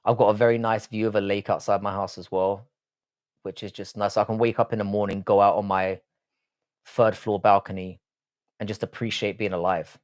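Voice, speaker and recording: A man's voice: lowish voice, little pitch movement, moderate pace. A man with a London accent, live streaming casually, answering chat in an informal, matey way and swearing freely. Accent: London English. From London, England.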